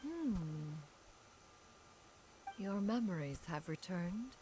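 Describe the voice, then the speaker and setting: A woman playing a dignified, aloof but caring immortal sage in a game, speaking in formal, measured phrases. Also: medium pitch, big pitch swings, mellow, a little hiss or hum. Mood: grave, sympathetic.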